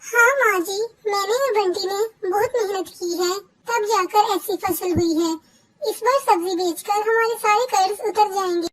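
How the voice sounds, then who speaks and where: A tiny, childlike sparrow daughter-in-law from a storybook cartoon. Her voice sits extremely high. She sounds like a dutiful young bird with a sly streak. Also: unhurried, low-quality recording. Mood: earnest, helpful. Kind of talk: Hindi cartoon voice acting.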